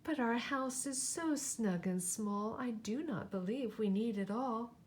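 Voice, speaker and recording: A woman's voice: a little high, measured pace, a little mellow, a little hiss or hum, natural voice. A woman voicing a practical, modest gardener's wife in a storybook, matter-of-fact. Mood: doubtful.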